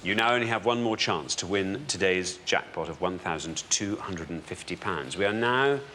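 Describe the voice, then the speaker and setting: A British man presenting a television quiz show, deliberate in his delivery and building suspense as he goes. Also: low voice, animated, pushed and bright, a little hiss or hum. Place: television studio.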